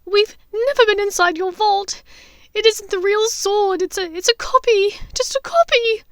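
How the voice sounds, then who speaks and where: A woman's voice: very high voice, a little slow, no echo, clean studio sound, pitched above the performer's own voice. A woman voicing a terrified young female captive in an audiobook story, sobbing, stammering and pleading. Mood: sobbing desperate.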